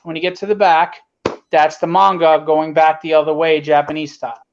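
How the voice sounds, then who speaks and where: A man's voice: a little high, level tone, brisk, dull audio, a little hiss or hum. A man chatting casually as a guest about his own creative work, in an emphatic way with short repeated phrases. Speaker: comic book creator, guest. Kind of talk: casual chat promoting own book.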